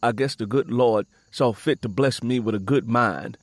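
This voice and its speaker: A man's voice: mid-range voice, normal speed, no echo, natural voice. A man voicing a clever, self-assured, easily annoyed man with curt replies in an audiobook. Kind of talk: dramatised audiobook dialogue.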